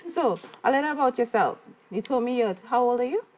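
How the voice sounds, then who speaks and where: A woman's voice: on the high side, lively intonation, brisk, darkish tone. A woman from Trinidad with a Trinidadian accent, hosting a talk show and interviewing a guest in a playful, flirty, teasing way.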